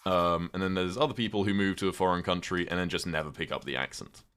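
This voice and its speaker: A young man with a British accent and a notably deep voice talks loosely to his live chat as he plays. He drifts between topics, filling pauses with "um" and "like". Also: fairly bright tone, clean tone. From Britain. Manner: rambling, chatty, lots of "um" and "like". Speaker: streamer. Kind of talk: casual live-stream chat while gaming.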